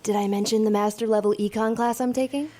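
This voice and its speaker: A woman's voice: fairly high pitch, steady tone, fast talker, warm tone, close to an everyday voice. A woman playing a dry, ambitious finance student. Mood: wry. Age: young adult. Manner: dry, self-mocking, ambitious. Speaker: finance student in audio drama. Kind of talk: audio drama acting.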